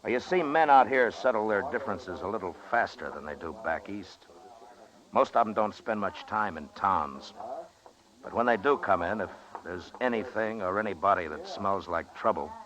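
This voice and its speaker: An actor with an American accent playing a stern, weary frontier marshal in a radio western, measured and laconic. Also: male voice, lowish voice, lively intonation, a crowd in the background, close to an everyday voice. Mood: stern warning. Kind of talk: old-time radio western acting. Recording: muffled old-time radio recording.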